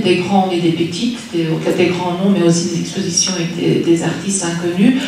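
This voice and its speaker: A woman's voice: on the low side, a little mellow. A woman who is not a native French speaker, answering on a panel as an exhibitions director, often restarting and searching for words. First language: Dutch or another language, not French. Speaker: exhibitions director at a federal arts institution. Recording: distant mic in a reverberant hall with faint noise.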